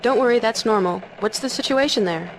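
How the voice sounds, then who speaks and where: An actress playing a composed, mock-serious hen news anchor in a game cutscene, with deadpan announcer phrasing. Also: female voice, fairly high pitch, brisk, natural voice. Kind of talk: parody newscast delivery. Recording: noticeable background noise.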